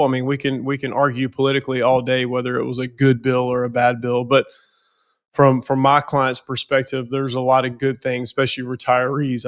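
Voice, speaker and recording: A financial planner with a head cold, sounding nasal, talking casually on a podcast and often repeating words as he goes. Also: mid-range voice.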